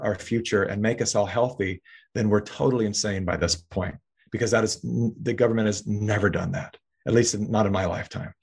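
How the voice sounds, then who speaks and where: A man with a fairly low voice talks at length in an interview, earnest and rambling. He often restarts words mid-sentence as he builds his point. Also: darkish tone, breathy edge. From California. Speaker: filmmaker guest. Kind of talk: political interview talk.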